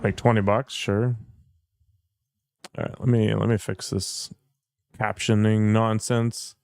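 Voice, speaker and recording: A man's voice: fairly low pitch, level tone, slow, creaky, darkish tone, clean tone, dry recording. Offhand man rambling over gameplay. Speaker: gameplay streamer. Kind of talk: casual let's-play commentary.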